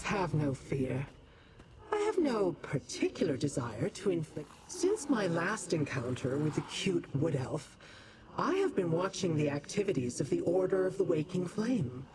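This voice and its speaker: Female demon, video game, haughty, teasing, deliberate, widely swinging pitch, crowd-like background sound. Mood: beckoning, condescending. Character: female Dremora demon, haughty, amused, condescending to mortals. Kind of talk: video game character acting.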